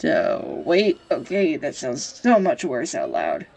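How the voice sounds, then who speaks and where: A woman acting an anxious, tender young woman in an intimate audio roleplay, speaking in a halting, confessional ramble on a close microphone. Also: deep voice, huge pitch swings, creaky, a little mellow, full character voice. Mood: flustered, embarrassed. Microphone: close USB studio microphone.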